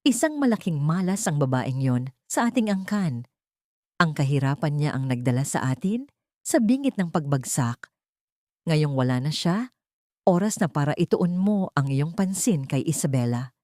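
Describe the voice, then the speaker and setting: A woman's voice: deep voice, sing-song, moderate pace, a little mellow, no echo, studio quality. A woman playing a cold, manipulative older rich mother in a dramatic audio story, speaking sharply and commandingly. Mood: scornful.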